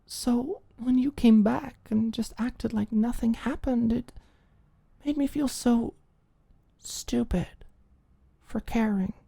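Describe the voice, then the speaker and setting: A woman voicing a caring young woman in an audio drama, calm, apologetic and hushed, with a slight rasp. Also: on the high side, slow, no room sound, voice pushed up, full character voice. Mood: soft, apologetic. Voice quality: soft, slightly raspy.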